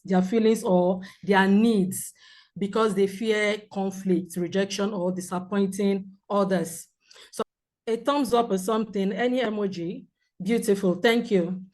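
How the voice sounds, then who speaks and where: A woman counsellor with a loud voice, teaching a group in a clear, measured way with examples. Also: mid-range voice. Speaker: premarital counsellor. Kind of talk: teaching a seminar talk.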